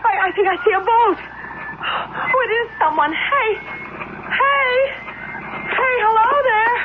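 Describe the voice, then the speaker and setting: An actress playing an anxious, polite young stranded traveler in a radio drama, stammering and breathless in a theatrical way. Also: female voice, extremely high pitch, expressive, takes it slowly, full character voice. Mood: weary, hopeful. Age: young adult. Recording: muffled, hissy old radio recording.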